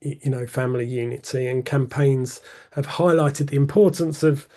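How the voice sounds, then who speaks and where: A hoarse, croaky man, a church public issues officer, speaking earnestly with repeated words. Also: mid-range voice, fairly even intonation, normal speed, mellow. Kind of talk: conversational explanation.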